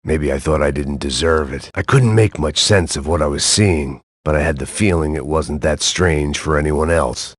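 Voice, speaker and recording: A burnt-out, self-loathing ex-cop mutters his hardboiled video game thoughts in a deadpan, sardonic drawl. His voice sits extremely low, and the recording is close and dry with no room sound. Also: no echo. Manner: sardonic, deadpan noir narration. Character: world-weary middle-aged male ex-cop, bitter, self-loathing. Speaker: game protagonist.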